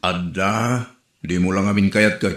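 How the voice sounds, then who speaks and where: A man's voice: sits low, fairly even intonation, a little slow, a bit bright, echoey room. Exasperated Ilocano man in a comedy skit. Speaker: single son in a comedy skit. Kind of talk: comedic dialogue acting.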